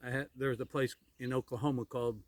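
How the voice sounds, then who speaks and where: A man in his seventies from New Jersey telling his life story in a casual interview, halting and repeating words with little chuckles. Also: medium pitch, steady tone, dry recording. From New Jersey, USA. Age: 70s. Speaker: interviewee, retired pilot.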